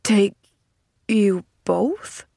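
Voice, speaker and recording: A woman reading the part of a lonely, earnest older man in an audiobook, halting and easily flustered. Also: medium pitch, vocal fry, darkish tone, no echo, studio quality. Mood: dazed, alarmed. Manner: halting, pleading. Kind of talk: audiobook character acting.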